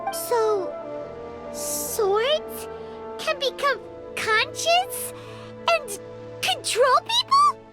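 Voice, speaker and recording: An actress voicing a tiny, outspoken girlish companion creature with a squeaky, blunt and excitable way of talking. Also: very slow, pauses often, exaggerated voice. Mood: curious. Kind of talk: video game voice acting. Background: game background music.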